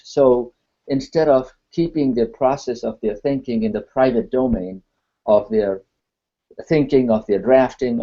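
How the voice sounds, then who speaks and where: Mellow man, earnest, stacks his points in lists, muffled call audio. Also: medium pitch. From Nepal. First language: Nepali. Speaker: discussion participant. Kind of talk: online video call discussion. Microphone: video call.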